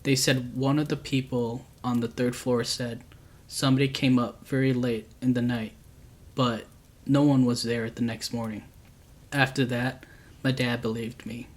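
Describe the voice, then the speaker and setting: A man narrates scary stories with a calm, unhurried read. His pitch sits in an ordinary middle range but barely moves, so the telling stays flat and even. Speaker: storyteller, channel host. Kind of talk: reading true scary stories aloud.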